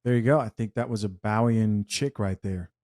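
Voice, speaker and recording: A man from Zambia gives calm, easygoing commentary on the screen in a slightly low voice. His sound is close and dry, with no room echo. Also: normal speed. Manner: easygoing, mild, describes what is on screen. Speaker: reaction host. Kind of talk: casual reaction commentary.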